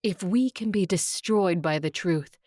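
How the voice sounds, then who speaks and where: Low-voiced, defiant vampire clan leader. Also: female voice, a little mellow, no echo, studio quality. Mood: resolute. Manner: measured, proud, formal declarations. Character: defiant, ambitious female vampire clan leader, coolly ruthless. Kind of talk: audio drama acting.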